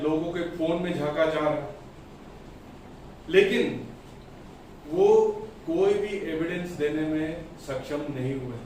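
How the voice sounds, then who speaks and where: A man delivers a political press statement as a party spokesperson, emphatically and deliberately in short phrases. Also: on the high side. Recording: echoey room, distant mic, faint noise.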